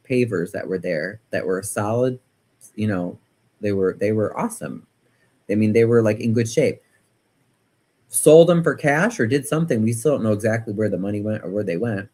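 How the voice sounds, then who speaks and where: Animated, rambling American man livestreaming. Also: mid-range voice. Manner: animated, opinionated, rambling, punctuated by emphatic repetition. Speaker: livestream host. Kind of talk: casual livestream chat and political commentary, reading viewer comments.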